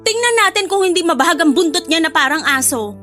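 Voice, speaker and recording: A woman's voice: very high voice, lively intonation, quick pace, sharp tone, soft background music, heavily acted. A woman playing a blunt, protective best friend with a sassy, scolding manner in an audio drama. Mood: blunt, teasing.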